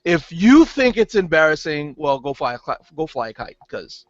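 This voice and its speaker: A man's voice: fairly high pitch, very animated, moderate pace, crowd noise. A man chatting bluntly and brashly on a video hangout. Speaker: game master panelist. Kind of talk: casual chat on a video hangout. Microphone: video call microphone. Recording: dull, dry call audio.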